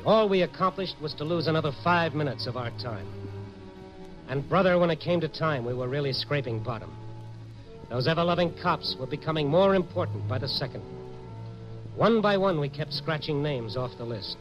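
An actor playing an urgent, world-weary American newspaper reporter in clipped 1950s radio drama style. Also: male voice, a little high, lightly acted. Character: hard-boiled Chicago newspaper reporter, urgent and impatient. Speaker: lead actor and narrator. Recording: muffled old radio broadcast, music behind.

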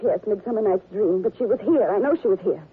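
A woman's voice: mid-range voice, lively intonation, fast talker, husky. A woman playing a distraught, accusing widow in a 1940s radio melodrama. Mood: accusing, tense. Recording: muffled narrow old radio recording.

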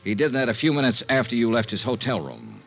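An American actor playing a blunt, polite freelance insurance investigator in 1950s radio drama, asking direct, measured questions. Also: male voice, mid-range voice, huge pitch swings, fairly bright tone. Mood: probing, firm. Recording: 1950s radio recording with music.

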